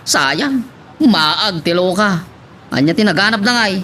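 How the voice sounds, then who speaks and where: A man performs a cheeky young farm son in a radio-style drama. He teases with a very changeable pitch. Light noise and ambience sit behind the voice. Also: animated, unhurried, bright, forceful tone, full character voice. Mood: mocking, amused. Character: cheeky, teasing young adult child of a farmer. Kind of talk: Ilocano audio drama acting.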